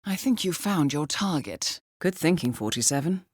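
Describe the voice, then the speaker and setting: Female mission handler for a spy game: lowish voice, lively intonation, measured, precise, coolly understated. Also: breathy edge, no echo, studio quality. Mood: composed, alert. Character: composed, articulate female intelligence handler guiding an assassin. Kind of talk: scripted video game mission briefing.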